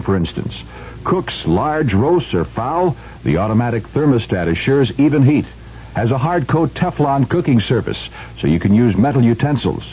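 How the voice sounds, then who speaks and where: Male spokesman: mellow tone, animated inflection, informative product pitch for vintage radio. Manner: informative sales delivery. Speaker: commercial spokesman. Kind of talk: scripted 1970s radio ad read. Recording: muffled, hissy 1970s radio ad.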